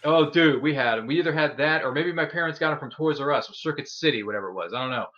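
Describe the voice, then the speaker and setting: A man's voice: fairly high pitch, quick pace, muffled recording. A man co-hosting a podcast, chatting enthusiastically and nostalgically as a fan, repeating phrases for emphasis. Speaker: podcast co-host. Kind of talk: casual nostalgic fan chat.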